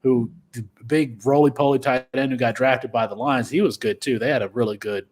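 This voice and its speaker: Halting man in his thirties. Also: medium pitch, fairly even intonation, darkish tone. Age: 30s. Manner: halting, restarts often, trails off. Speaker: podcast co-host. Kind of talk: casual fantasy football chat.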